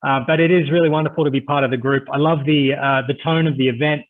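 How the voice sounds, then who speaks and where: A man's voice: on the high side, fairly even intonation, quick pace. A man with an Australian accent giving a friendly, chatty webinar presentation over a video call, with frequent "uh" fillers. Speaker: conference presenter. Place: home office on a video call. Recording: tinny phone-like video call audio.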